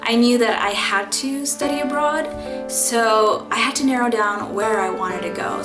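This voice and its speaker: A woman's voice: medium pitch, roomy sound. A young woman, a college student, sharing her faith story in a personal vlog, speaking earnestly and reflectively with frequent fillers and restarts. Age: young adult.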